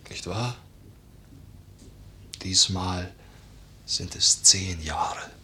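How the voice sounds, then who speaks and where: A tormented, hard-drinking husband in a radio drama. His voice is very deep with a sharp, tense edge. He speaks haltingly, circling back on his words with bitter irony, over a faint rumble. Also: full character voice. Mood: weary, hesitant. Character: guilt-ridden, drinking husband of thirty-five confessing murder, brooding. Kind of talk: German radio play acting.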